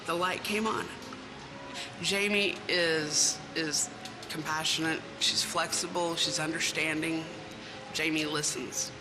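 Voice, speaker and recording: A person speaking plainly and reflectively in a testimonial video. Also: female voice, low-pitched, steady tone, takes it slowly, rough recording. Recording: video clip with music underneath.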